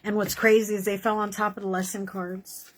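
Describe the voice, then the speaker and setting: A woman reading tarot horoscopes in a casual, encouraging way, her voice worn and hoarse from doing many readings. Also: medium pitch, little pitch movement, a little fast, low-quality recording. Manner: chatty, encouraging, many fillers like "you know" and "okay". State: losing her voice. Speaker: tarot reader.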